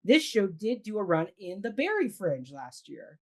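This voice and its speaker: A woman hosting a casual theatre talk show, upbeat and quick to affirm, steering the conversation. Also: mid-range voice, sing-song. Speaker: host.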